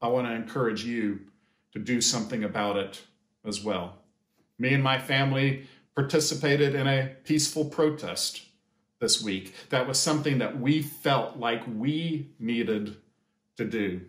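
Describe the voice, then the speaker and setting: An American man in his fifties giving a measured, sermon-like pastoral address with deliberate pauses. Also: medium pitch, a bit bright. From United States. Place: small indoor sitting room or office. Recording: echoey room.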